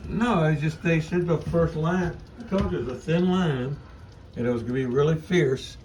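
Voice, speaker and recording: A man's voice: on the high side, moderate pace, a little mellow. A man chatting casually in a home video recording. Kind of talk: casual chat. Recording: noisy home video, ambient noise.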